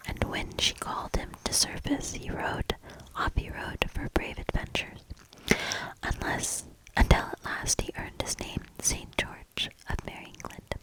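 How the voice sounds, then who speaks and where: A woman doing soft ASMR storybook reading and quiet commentary in a breathy, gentle, hushed whisper. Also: low-quality recording. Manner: slow, gentle, hushed delivery.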